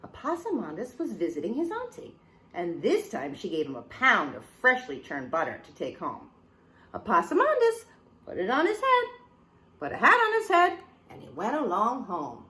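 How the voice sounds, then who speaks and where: Lady doing a kids storytime read-aloud, super lively up and down voice, playful asides. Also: medium pitch, moderate pace. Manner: animated, playful asides to listeners. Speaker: storyteller reading a picture book.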